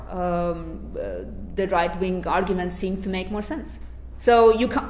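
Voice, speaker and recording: A woman speaking as a panelist in an academic discussion, thoughtful and halting. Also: lowish voice, slight background noise. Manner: thoughtful, halting, with many fillers. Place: lecture hall. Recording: echoey lecture hall.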